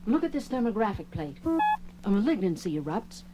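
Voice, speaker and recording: An actress playing a grave, precise space base doctor in a science fiction audio drama, measured and clinical. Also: female voice, medium pitch, big pitch swings, over loud music, lightly acted.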